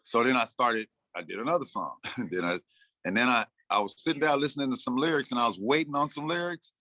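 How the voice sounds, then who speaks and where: Guest: sixty-something man, bright forceful voice, dry close sound, loose storyteller who acts out his own lines. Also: medium pitch, fast, no room sound. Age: 60s. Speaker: interview guest, rapper and producer. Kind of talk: casual interview talk.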